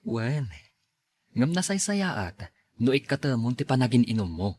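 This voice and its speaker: A man's voice: mid-range voice, big pitch swings, measured pace, a little mellow. An actor playing a kind, patient young man advising the woman he loves, in a radio drama. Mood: gentle, advising. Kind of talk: radio drama acting in dialogue.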